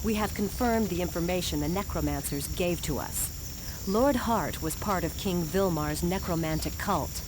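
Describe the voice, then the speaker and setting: A woman playing a grave, dutiful young queen in a video game cutscene, speaking in a solemn, measured, formal way. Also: on the low side, background noise, lightly acted. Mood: stern, resolute. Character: grave, dutiful young queen addressing her dead father. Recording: music behind the voice.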